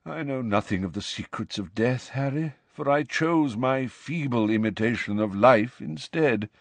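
A man voicing a resigned, courtly male ghost in an audiobook, speaking quietly and formally with hesitant, sighing phrases. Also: mid-range voice, a little slow, dry recording. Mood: wistful. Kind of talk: audiobook character voice.

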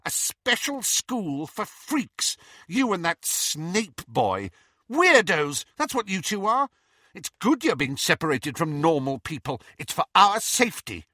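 Storybook role: spiteful older-sister girl, played by a man, high, piercing, indignant, very dry close sound. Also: sing-song, unhurried, pushed and bright, dry recording, exaggerated voice. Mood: spiteful scorn. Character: bitter, scornful young girl, jealous older sister. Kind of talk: audiobook character reading.

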